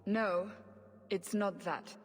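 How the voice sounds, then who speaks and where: A woman's voice: medium pitch, fairly even intonation, slow pace, big-room sound. An actress playing a stern, earnest woman investigator in a video game, questioning in a probing manner. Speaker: interrogator. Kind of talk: video game cutscene acting.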